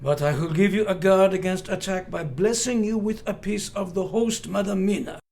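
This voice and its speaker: An actor playing a grave, commanding elderly professor in a radio play, speaking in formal, old-fashioned, deliberate phrases. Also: male voice, high voice, fairly even intonation, gravelly, a little mellow. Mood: solemn.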